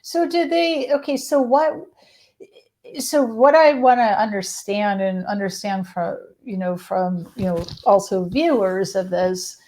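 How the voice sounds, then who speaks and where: A woman's voice: mid-range voice, darkish tone. A woman interviewing a guest, often restarting and rephrasing her questions. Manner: rephrases questions, starts over with "so".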